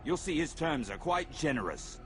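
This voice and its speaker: Man acting a pushy, animated soldier. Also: a little high, normal speed, noisy background, natural voice. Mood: insistent. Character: pushy male soldier delivering demands. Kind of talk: video game acting.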